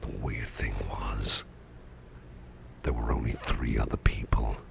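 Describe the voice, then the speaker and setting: An extremely low, heavily creaking male voice acted by a man for an ailing, genial boss in an ASMR roleplay. He rambles in a folksy half-whisper, slowly and with long pauses, close on the mic. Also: little pitch movement, background noise, exaggerated voice. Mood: musing, uneasy. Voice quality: very deep, gravelly, breathy half-whisper. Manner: slow, halting, folksy musing with pauses. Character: sickly, gruff but genial male boss. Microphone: close ASMR microphone.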